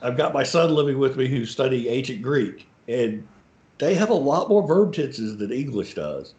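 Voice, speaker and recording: A man's voice: mid-range voice, very animated, moderate pace, a little mellow. A middle-aged man talking casually and hesitantly, often trailing off and starting over. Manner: hesitant, with many fillers and self-restarts. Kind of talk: casual discussion. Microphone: video call. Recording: roomy, dull video call audio.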